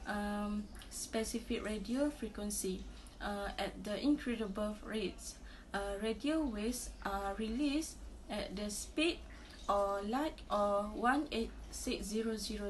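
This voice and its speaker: Slow, halting young woman. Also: medium pitch, a little hiss or hum, poor audio. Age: young adult. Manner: halting, repeats words. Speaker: student presenter. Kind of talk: class presentation.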